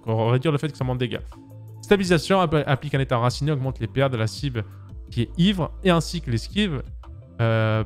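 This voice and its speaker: A man raised in Switzerland chats through a game class breakdown at an even pitch, talking straight to his viewers and filling gaps with little filler words. He sits at a boom-arm studio mic in a home streaming room, and faint music plays underneath. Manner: chatty, uses filler words, addresses viewers directly. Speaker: gaming content creator.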